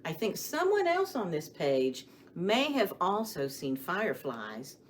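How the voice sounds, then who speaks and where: A woman hosting a story time for young children, speaking to them with questions and expressive emphasis as she shares rhymes and picture books. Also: fairly low pitch, unhurried, slight background noise.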